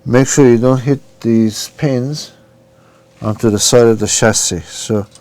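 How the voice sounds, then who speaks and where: A man's voice: medium pitch, steady tone, unhurried, darkish tone. A man narrating an informal hands-on repair tutorial, giving careful step-by-step instructions and sometimes muttering to himself. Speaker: repair demonstrator.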